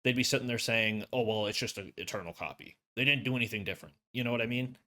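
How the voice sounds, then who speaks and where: A man with a hoarse voice giving a casual, rambling review of a video game as a YouTuber, full of fillers and restarts. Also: medium pitch, quick pace, pushed and bright. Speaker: gaming YouTuber. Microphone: USB condenser desk mic.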